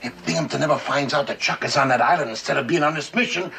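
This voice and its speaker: An actor playing a brash boat skipper who barks orders excitedly. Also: male voice, a little high, fast talker, gravelly, recorded from a distance. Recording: old TV sitcom audio with laugh track and hiss.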